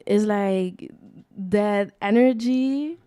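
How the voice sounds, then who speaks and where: Chatty woman from Chile, smooth voice. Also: medium pitch, extremely slow, dry recording. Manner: chatty, lots of "like", animated asides. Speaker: guest DJ. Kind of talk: casual radio interview. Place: radio studio.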